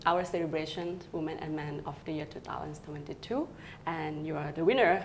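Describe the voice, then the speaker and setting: A Thai-accented woman conducts an interview in a slightly low voice. Her phrasing is simple and a little halting, with faint noise behind her. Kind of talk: interview questions. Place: office, indoors.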